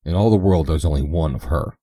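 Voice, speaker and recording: A man's voice: a bass voice, brisk, dark tone, dry recording. A man voicing an older colonel and father who speaks in a dry, grumbling way.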